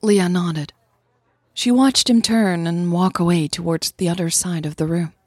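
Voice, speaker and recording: A woman with a husky voice reading scripted story narration for an audio drama. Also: on the low side, steady tone, unhurried, a little mellow, no echo, clean studio sound.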